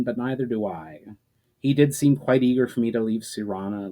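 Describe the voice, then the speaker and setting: A man's voice: mid-range voice, mellow, natural voice. A man voicing an earnest, troubled mage in a storybook reading, grave and explanatory in manner. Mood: reassuring. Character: troubled, earnest male mage haunted by visions.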